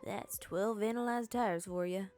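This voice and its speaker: A woman's voice: mid-range voice, a little slow, a little mellow, soft background music, natural voice. A woman voicing an older male police officer in a game, recounting his night patrol in a self-satisfied way. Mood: dramatic.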